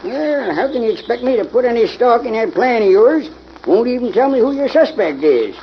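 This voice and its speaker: A man's voice: extremely high pitch, big pitch swings, moderate pace, exaggerated voice. A man playing a skeptical, grumbling backwoods sheriff with folksy phrasing in an old radio drama. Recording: muffled old radio recording, faint noise.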